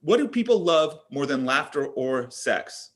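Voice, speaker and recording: A man playing a brash, pushy, crass tech executive in his thirties, pitching like an overblown salesman in a comedy table read. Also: very animated, unhurried. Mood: pushy, callous. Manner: overblown salesman patter, dramatic exclamations.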